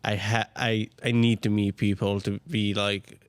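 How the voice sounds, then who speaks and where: A young man from Jordan, a restaurant co-owner, talking as a guest in a soft-spoken way and searching for words. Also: lowish voice, flat delivery, a little slow, clear, resonant tone, dry recording. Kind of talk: casual interview chat.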